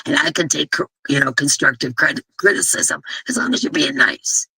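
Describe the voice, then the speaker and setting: Hoarse, gravelly woman host, blunt and chatty. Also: low-pitched, moderate pace, bright, forceful tone, no echo, rough recording. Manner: blunt, chatty, rambling, with frequent restarts. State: hoarse, losing her voice. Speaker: host of a crochet live stream. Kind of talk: casual live-stream chat.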